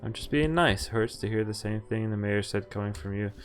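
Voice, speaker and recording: Animated male streamer over game music. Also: on the low side, fairly quick. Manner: stumbles over words while reading and corrects himself; animated, jokey reactions. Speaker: game streamer doing a visual novel playthrough. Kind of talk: reading game text aloud with casual commentary.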